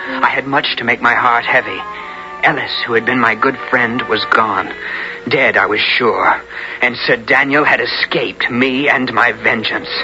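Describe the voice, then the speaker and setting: An actor playing an honorable young medieval hero in a radio drama, earnest and theatrical in archaic diction. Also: male voice, medium pitch, lightly acted. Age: young adult. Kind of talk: 1970s radio drama acting and narration. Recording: dull radio recording, faint noise.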